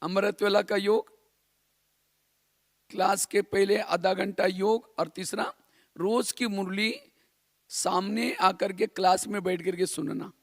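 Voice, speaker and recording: A man's voice: high voice, fairly even intonation, slow pace, gravelly, long pauses, dry recording, clean studio sound. A man from India giving a spiritual class in a didactic way, repeating phrases and posing rhetorical questions. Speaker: spiritual teacher.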